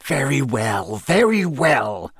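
A man's voice: medium pitch, sing-song, extremely slow, no room sound, clean studio sound, heavily acted. A man voicing a cold, menacing male potions teacher with a silky, curt, sneering delivery, as in an audiobook. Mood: irritated, snapping.

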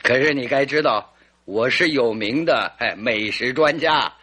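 An actor playing a composed, confident and teasing detective in a radio drama, with a deliberate, commanding manner and a husky voice. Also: male voice, mid-range voice, bright, forceful tone. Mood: amused, teasing.

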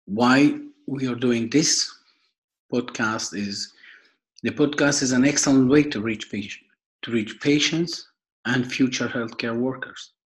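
A young man from Afghanistan with an Afghan accent, giving a prepared podcast introduction in a formal, halting way, often repeating and rephrasing. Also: mid-range voice, low-quality recording. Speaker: podcast host and foundation worker.

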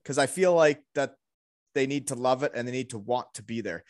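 A man's voice: mid-range voice, a little fast, no echo, studio quality. A man hosting a casual, conversational interview, informal and given to jokey interjections. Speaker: interviewer, host.

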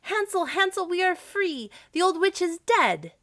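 A woman's voice: fairly high pitch, lively intonation, fairly quick, no room sound, clean studio sound, pitched above the performer's own voice. A woman voicing a young girl in a storybook, an earnest and plaintive heroine. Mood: joyful, excited.

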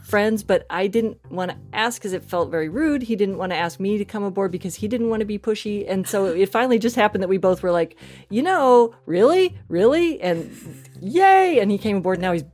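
A woman's voice: mid-range voice, expressive, fairly quick, a little mellow. A woman chatting casually as an interview guest, effusive and self-deprecating, acting out little asides as she tells her stories. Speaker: interview guest, podcast writer and voice actor.

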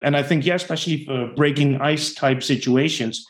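A man's voice: fairly high pitch, normal speed. A man from the Netherlands with a Dutch accent, a podcast guest giving long, reflective answers about his sales career. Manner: reflective, talks in long explanatory runs. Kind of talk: podcast interview.

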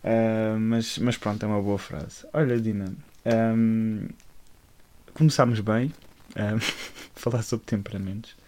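A man's voice: on the low side, fairly even intonation, takes it slowly, a little mellow. A man hosts a casual podcast interview in a friendly back-and-forth. He laughs often, stumbles over his words, and circles back to restart his questions. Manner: laughing, hesitant, many fillers and restarts. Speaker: podcast host.

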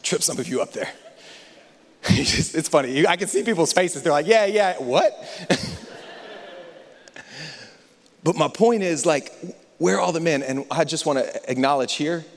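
A young adult man from the United States preaching a sermon, moving between loud, lively passages and hushed, confiding ones. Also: on the high side, normal speed. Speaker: pastor. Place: large church hall. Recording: church hall reverb.

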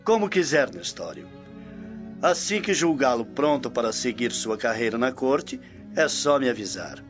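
Male actor as self-assured Roman patron, Brazilian accent, radio drama, courteous, animated intonation, slightly muffled recording. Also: medium pitch, normal speed, over music, natural voice. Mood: cordial. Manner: formal, courteous.

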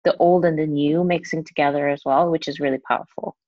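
A young woman from Hong Kong, Cantonese her first language, answering interview questions in a soft, hesitant way with many fillers. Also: low-pitched, steady tone, quick pace, dry recording, muffled recording. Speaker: guest.

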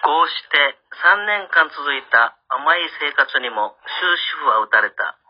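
A man with a husky voice narrating an amateur radio drama as a storyteller. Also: a little high, very animated, unhurried. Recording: muffled, lo-fi.

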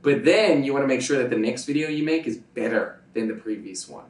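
A man's voice: medium pitch, expressive, brisk, a little mellow, recorded from a distance. A Dutch man whose first language is Dutch, a YouTuber answering interview questions, emphatic and repeating his points. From Netherlands. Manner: emphatic, repeats points, checks agreement with "right?". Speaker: YouTuber guest. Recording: roomy sound from off-mic.

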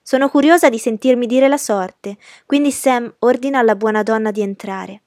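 A woman's voice: a little high, moderate pace, a little mellow, no echo, pitched above the performer's own voice, close to an everyday voice. Woman acting a young lady, imperious, spoiled, impatient, commanding, storybook character, dry sound. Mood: haughty, impatient. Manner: haughty, commanding.

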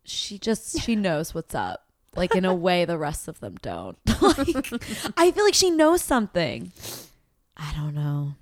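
A woman co-hosting a casual chat, animated and quick to laugh. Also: fairly low pitch, no room sound, clean studio sound. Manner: giggly, animated, frequent laughter. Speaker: podcast co-host.

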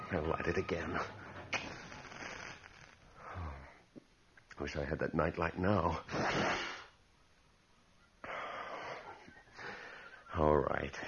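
A man's voice: fairly low pitch, slightly rough, full character voice. An actor playing a cocky but increasingly rattled man muttering to himself in a radio horror drama. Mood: rattled defiance. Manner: muttering and exclaiming to himself, sardonic bravado. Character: cocky, increasingly rattled man spending a night in haunted room. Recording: muffled, slightly noisy old radio drama recording.

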